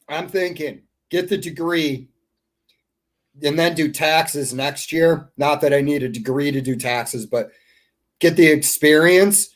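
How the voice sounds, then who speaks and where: A man talking as a guest on a casual chat show. His pitch sits a little higher than most men's. He sounds easygoing and throws in plenty of slang. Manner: laid-back, says dude and bro a lot.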